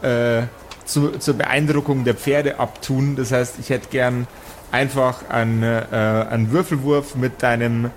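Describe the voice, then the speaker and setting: A man narrating an improvised tabletop game as game master, in a deliberate way with pauses. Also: mid-range voice, steady tone, slight background noise. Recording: music under the voice. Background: music.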